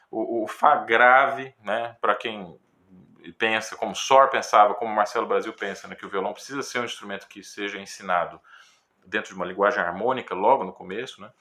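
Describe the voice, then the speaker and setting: A man with a Brazilian Portuguese accent, a guitar teacher giving a casual, thoughtful talk with frequent pauses and fillers. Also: mid-range voice, bright, forceful tone. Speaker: guitar teacher reviewing a book. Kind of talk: casual spoken commentary.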